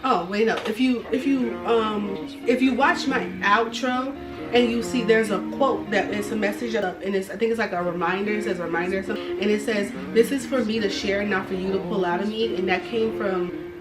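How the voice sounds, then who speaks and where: A woman's voice: medium pitch, fairly even intonation, moderate pace. A woman in her twenties talks candidly in a vlog, blunt and sassy, swearing freely. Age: 20s. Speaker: vlogger. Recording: roomy, mic at a distance, music behind.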